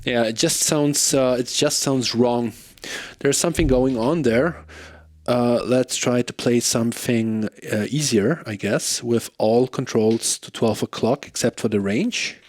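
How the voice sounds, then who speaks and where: Man chatting between bass riffs. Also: measured pace, no echo. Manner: hesitant, many fillers and tag questions. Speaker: gear reviewer demonstrating bass pedals. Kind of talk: casual demo talk between playing. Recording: bass playing behind voice. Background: bass guitar music.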